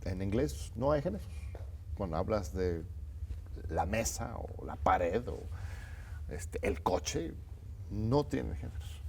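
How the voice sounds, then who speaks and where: A man's voice: on the low side, sing-song, slow, vocal fry, long pauses, slight background noise. A middle-aged man, a native English speaker from the United States, hosting a televised interview in a studio and talking in a reflective, hesitant way. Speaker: host. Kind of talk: conversational interview.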